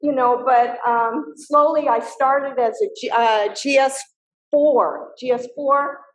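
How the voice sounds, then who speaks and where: Older woman speaker, girly voice, fairly high, roomy echo. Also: steady tone, slow pace. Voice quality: little girly voice. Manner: reflective, candid, with many fillers. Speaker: guest speaker, retired soil scientist. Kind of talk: personal career story in a talk.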